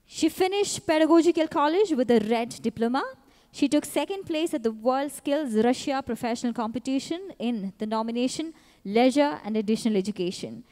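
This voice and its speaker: A woman announcer reading scripted introductions of pageant contestants in a measured, even way. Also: high-pitched. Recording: long hall reverb.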